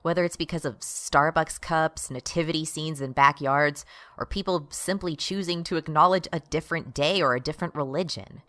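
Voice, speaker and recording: A woman's voice: sits low, moderate pace, a bit bright, dry recording, studio quality. A woman acting as an exasperated, righteous progressive activist in a satirical radio drama.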